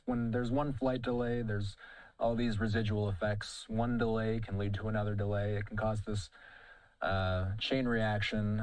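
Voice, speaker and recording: A man working as a news interviewer, putting questions in a deliberate, emphatic broadcast style. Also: mid-range voice, dry recording, rough recording.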